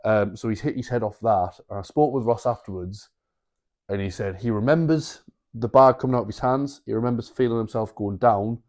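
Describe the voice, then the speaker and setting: Low-voiced man telling a story. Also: fairly quick, darkish tone. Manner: storytelling, sweary banter. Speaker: podcast co-host. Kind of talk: casual podcast chat.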